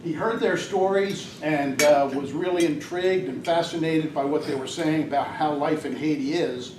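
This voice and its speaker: A man's voice: on the high side, moderate pace. An older man giving a rambling, hesitant talk at a club meeting, with many fillers. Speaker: guest speaker at a Rotary club. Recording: distant mic in an echoey room, noisy.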